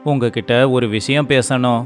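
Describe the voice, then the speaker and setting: A man voicing a poor, devoted rickshaw driver in a storybook tale, earnest, gentle and pleading in manner. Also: medium pitch, fairly even intonation, measured pace, soft background music, natural voice.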